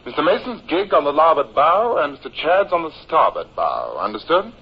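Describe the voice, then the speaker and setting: An actor playing an orderly first lieutenant in a 1950s radio drama, with a clipped, methodical way of briefing. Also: male voice, a little high, very animated, normal speed, breathy edge, full character voice. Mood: brisk, businesslike. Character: brisk, orderly first lieutenant of a navy frigate. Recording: muffled old radio recording.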